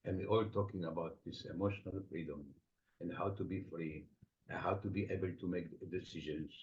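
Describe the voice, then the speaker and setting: A man with an Estonian accent, a psychotherapist answering interview questions thoughtfully, with many pauses. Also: deep voice, steady tone, darkish tone. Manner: reflective, explains with everyday examples, frequent pauses. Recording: dull lo-fi sound.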